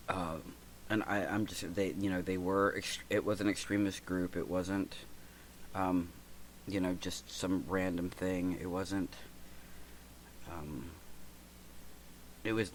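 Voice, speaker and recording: A man in his forties from the United States, hosting a reflective personal talk, stuffy and congested, speaking haltingly with many fillers and restarts. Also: low-pitched, fairly bright tone, slight background noise. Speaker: podcast host.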